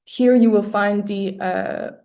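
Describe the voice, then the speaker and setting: A woman with a mellow, slightly hoarse voice, losing it as she talks. She hosts a webinar over a computer mic, hesitating on fillers and restarting phrases. She keeps a practical, explaining tone. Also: mid-range voice, steady tone, slow pace. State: losing her voice, clearing her throat often. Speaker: webinar presenter for an arts award. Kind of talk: explaining an application process. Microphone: computer mic on a video call.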